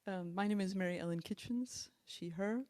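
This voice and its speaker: Older woman, choir founder on a panel, mellow voice, measured delivery. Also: medium pitch. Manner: measured, halting with fillers. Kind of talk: roundtable introduction. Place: hall with dark stage. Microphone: handheld mic.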